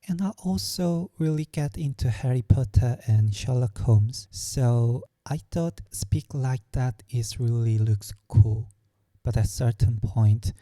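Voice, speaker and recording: A young man from Korea with a Korean accent, telling his own story slowly and hesitantly, searching for words. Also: mid-range voice, dark tone, dry recording. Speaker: vlogger. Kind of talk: personal storytelling.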